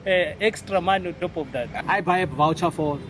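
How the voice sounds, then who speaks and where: A South African man chats in a casual outdoor interview, sliding easily between Sesotho and English. His voice sits a little high. Loud street and traffic noise fills the background. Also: brisk.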